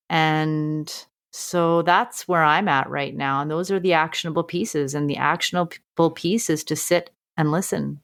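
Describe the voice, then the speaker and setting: A woman who is a teacher and podcast co-host, talking earnestly with a strong voice and thinking aloud with frequent restarts. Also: on the low side. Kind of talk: reflective casual conversation.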